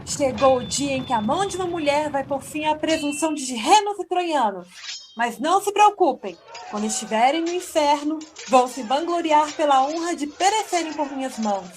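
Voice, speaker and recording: A woman's voice: sits high, lively intonation, moderate pace, a little hiss or hum. A woman playing a proud, scornful warrior queen in an audio drama, declaiming haughtily. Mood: haughty defiance. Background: music.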